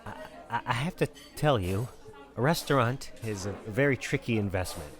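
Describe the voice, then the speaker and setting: A man's voice: medium pitch, expressive, moderate pace, close to an everyday voice. A man playing a fussy, nervous accountant on the run, stammering and politely persistent in an acted film script read. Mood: earnest insisting. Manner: hesitant, stammering, earnestly nagging.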